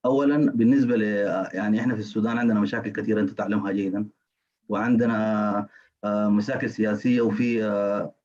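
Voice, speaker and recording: A man with a Sudanese Arabic accent and a low voice speaks on a video call. He talks fast in bursts but stalls often on fillers. The call audio sounds dull. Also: steady tone, darkish tone. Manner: halting, many fillers and restarts. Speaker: participant.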